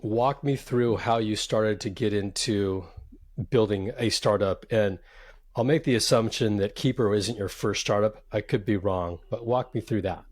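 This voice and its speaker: Man, podcast host, lowish voice, measured friendly questions. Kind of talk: podcast interview.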